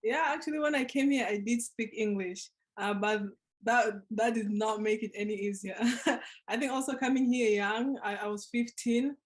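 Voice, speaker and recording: A woman's voice: on the high side, moderate pace. A woman in her twenties telling her personal story on a panel, earnest and reflective, over a video call. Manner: earnest, reflective, with soft laughs. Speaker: panel speaker.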